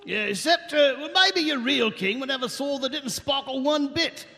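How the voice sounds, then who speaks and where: An actor playing a gruff, cynical veteran guard sergeant in a comic fantasy radio play. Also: male voice, extremely high pitch, normal speed, sharp tone, heavily acted. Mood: musing. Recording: live stage performance in reverberant hall.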